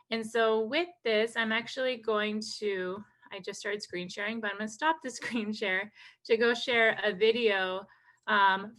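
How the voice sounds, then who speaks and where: A woman from North Dakota whose first language is Hidatsa presents informally online. Her voice sits fairly high and is very bright and forward. She sounds enthusiastic and informal, like a program director greeting students. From North Dakota, USA. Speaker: program director, guest speaker.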